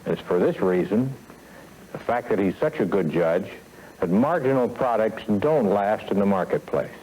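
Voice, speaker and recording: An American man delivering a scripted sales training lecture as a company executive, in a measured, formal manner with emphatic phrasing and deliberate pauses, on a 1970s film soundtrack. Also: fairly low pitch, lively intonation, dark tone, slight background noise, rough recording.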